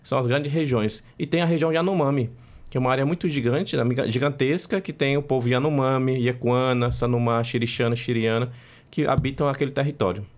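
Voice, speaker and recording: A man whose first language is Macuxi speaks as an interview guest, with a voice that sits mid-range. He lays out long lists patiently and adds "né?" between items. His delivery is measured and steady. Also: medium pitch. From Roraima, Brazil. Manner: measured, listing facts with frequent "né?" tags. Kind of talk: interview answers.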